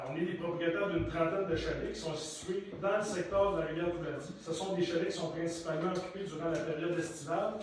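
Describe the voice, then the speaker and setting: A Québécois man speaking as spokesman for cottage owners, reading a prepared, formal statement at a council meeting, methodical, with some hesitations. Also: on the high side. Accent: Québécois French. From Quebec. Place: municipal council meeting room. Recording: echoey distant room mic, faint noise.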